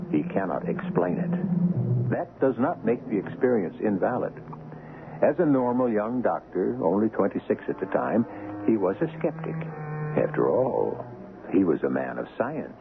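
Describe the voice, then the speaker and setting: A man hosting and narrating a radio drama in a measured, dramatic, scripted style. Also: fairly low pitch, very animated, gravelly, background noise. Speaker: host and narrator. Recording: phone-like old radio recording, loud music.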